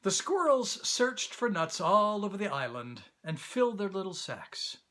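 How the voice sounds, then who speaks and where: A man reading a children's story as an actor, in expressive, deliberate storybook narration. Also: high voice.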